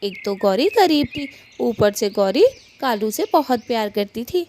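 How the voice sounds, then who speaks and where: A woman's voice: a little high, animated, measured pace, darkish tone. A woman narrator reading a scripted children's story.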